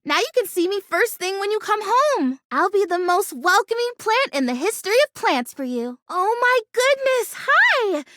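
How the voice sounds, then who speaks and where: A woman's voice: very high-pitched, big pitch swings, normal speed, hardly any pauses, sharp tone, no room sound, clean studio sound, full character voice. A woman voicing a bubbly, scatterbrained young plastic houseplant in a video game, chatty and gushing. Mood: excited, friendly. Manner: gushing, chatty, easily distracted, exclamatory. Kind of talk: animated video game character acting.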